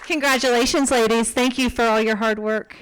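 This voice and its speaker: A woman emceeing an awards ceremony, announcing the finalists' names and titles clearly. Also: on the high side, steady tone, fairly quick. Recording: crowd chatter and noise in event hall.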